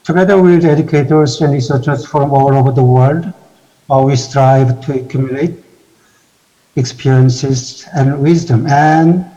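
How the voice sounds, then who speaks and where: A man with a Korean accent and a mellow, dark-edged voice delivers formal remarks as an institute president. Korean is his native language, and he speaks in halting, measured phrases. The recording is somewhat dull and lo-fi. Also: medium pitch. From South Korea. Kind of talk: formal prepared speech.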